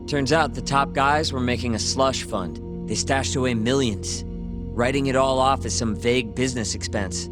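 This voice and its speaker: Casting: male actor as self-assured young tech rebel, natural game dialogue, mid-pitched, clear music bed. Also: moderate pace, natural voice. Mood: bitter. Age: young adult. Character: cool, self-assured young male hacker turned vigilante.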